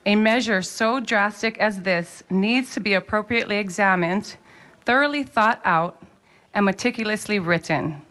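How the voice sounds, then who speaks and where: A woman's voice: medium pitch, normal speed, a bit bright. A woman giving formal public testimony, speaking in a pleading, pointed and urgent way.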